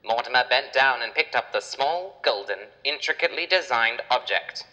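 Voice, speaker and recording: A man's voice: medium pitch, expressive, moderate pace, pushed and bright, muffled recording. A man narrating a children's adventure story in a dramatic, scripted storytelling style.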